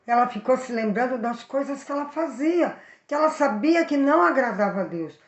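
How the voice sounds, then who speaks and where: A woman's voice: sits high, brisk, echoey room, highs cut off. Brazilian woman telling a children's story. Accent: Brazilian Portuguese. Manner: animated, addresses the young viewers directly.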